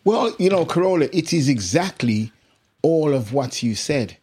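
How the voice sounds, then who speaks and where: A middle-aged English musician with a British accent touched by Jamaican Caribbean tones, telling stories casually in an interview and laughing often. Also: male voice, on the high side, moderate pace, breathy edge. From England. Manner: laughs often, animated anecdotes. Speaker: musician guest.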